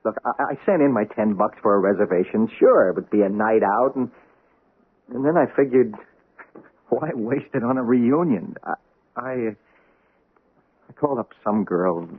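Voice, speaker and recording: A jittery, evasive husband, who stammers and restarts constantly. His husky voice jumps up and down in pitch. The sound is tinny and narrow, like a vintage broadcast. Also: lively intonation, normal speed, long pauses. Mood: pleading. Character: nervous, stammering cheating husband, middle-aged man. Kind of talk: 1970s radio drama acting. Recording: tinny lo-fi vintage radio recording.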